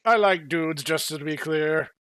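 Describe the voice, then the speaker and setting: A man's voice: on the high side, a little slow, fairly bright tone, clean tone, no room sound, clean studio sound. A man voicing a portly, frank townsman in a bar. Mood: smug, frank.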